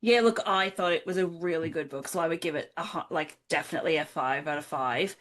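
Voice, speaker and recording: A woman's voice: deep voice, a little fast, a bit bright. A woman co-hosting a book club podcast, talking casually as she reviews and asks questions.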